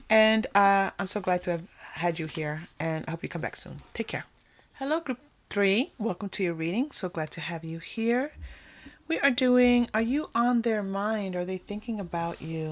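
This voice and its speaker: A woman hosting an unscripted tarot reading, talking conversationally to viewers and often pausing or repeating phrases as thoughts come to her. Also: medium pitch, slight background noise. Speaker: tarot reader hosting a pick-a-card reading.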